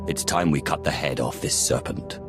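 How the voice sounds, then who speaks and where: Casting: commanding dark lord, rock-bottom register, composed and curt, game scene with strong music. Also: male voice, a bass voice. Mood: cold, composed. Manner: measured, curt, imperious. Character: cool, commanding male Sith lord. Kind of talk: scripted game cutscene acting.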